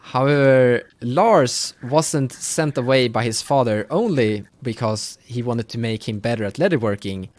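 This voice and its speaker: A man's voice: mid-range voice, unhurried, hardly any pauses. A man speaking as game master, guiding players through a tabletop roleplaying session.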